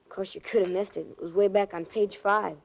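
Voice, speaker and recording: A woman's voice: mid-range voice, steady tone, brisk, gravelly. A woman playing a plucky, trusting young boy in a 1940s radio drama, talking in earnest, streetwise slang. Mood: earnest, insistent. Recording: muffled vintage 1940s radio recording.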